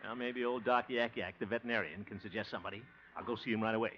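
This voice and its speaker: A man's voice: on the low side, steady tone, racing, lo-fi sound, close to an everyday voice. An actor playing a good-natured, bumbling man running for mayor, laughing heartily, in a 1950 radio comedy. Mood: musing. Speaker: lead actor. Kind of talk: 1950s radio comedy acting. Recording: hissy restored 1950 radio recording.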